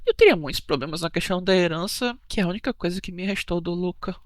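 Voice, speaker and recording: A woman playing a touchy, evasive cruise hostess in an animated mystery, bickering and chatty, with a Brazilian accent. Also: fairly low pitch, quick pace, no room sound, studio quality, close to an everyday voice. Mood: explaining. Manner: defensive, gossipy, bickering. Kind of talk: animated murder-mystery dialogue.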